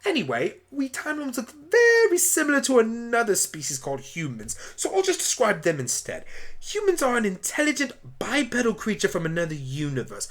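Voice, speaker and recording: Radio-play lead: male actor as a polite, rambling alien doctor, lonely and whimsical, with lively pitch movement. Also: brisk, exaggerated voice. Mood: brisk, whimsical. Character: apologetic alien time-traveling doctor, lonely yet whimsical man. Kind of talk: amateur radio play acting.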